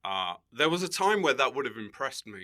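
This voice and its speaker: A man chatting casually as a comedian guest on a podcast, wry and bantering and quick to laugh. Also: mid-range voice, big pitch swings, sharp tone.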